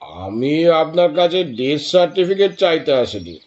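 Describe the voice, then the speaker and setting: A man's voice: on the high side, big pitch swings, unhurried, darkish tone. A man playing a composed, caring detective in an audio drama, asking firm, probing questions. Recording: dull, noisy recording.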